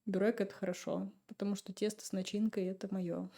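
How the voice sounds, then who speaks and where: Relaxed chatty woman, mellow voice. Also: mid-range voice, a little fast, vocal fry. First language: Russian. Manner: relaxed, chatty storytelling with laughs. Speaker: podcast guest. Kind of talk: casual chat.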